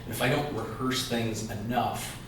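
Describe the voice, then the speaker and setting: A man's voice: mid-range voice, fairly even intonation, normal speed. Hesitant man heard distantly in a reverberant room. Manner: hesitant, full of restarts and fillers. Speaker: audience member asking a question. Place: conference room, away from the mic. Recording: distant, very echoey, noticeable room noise.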